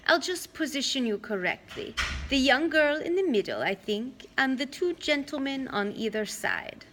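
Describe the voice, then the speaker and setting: A woman voicing a soothing old female monster who poses as a kindly grandmother, with a slow, purring, coaxing manner, in a storybook reading. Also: high voice, very animated, fairly bright tone, slight background noise, voice pushed up. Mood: sweetly polite.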